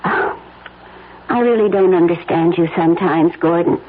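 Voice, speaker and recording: An actress playing a trusting, puzzled, affectionate wife in an American radio drama, with a tentative, questioning manner. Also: female voice, fairly low pitch, normal speed, natural voice. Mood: puzzled, concerned. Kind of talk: old-time American radio drama acting. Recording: dull radio drama recording, faint noise.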